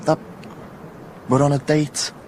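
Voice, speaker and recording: A man's voice: on the high side, level tone, takes it slowly, darkish tone. An actor playing an awkward, smitten Welsh teenage village boy in a naturalistic radio drama. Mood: sheepish, bantering. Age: young adult. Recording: noisy outdoor ambience.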